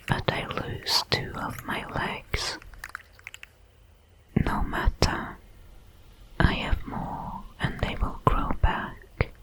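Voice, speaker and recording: A woman voicing a calm, watchful alien predator in a breathy whisper, with formal, measured diction for an ASMR audio roleplay. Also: poor audio. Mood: calm, detached. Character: many-legged alien predator, calm, watchful, protective, coldly curious.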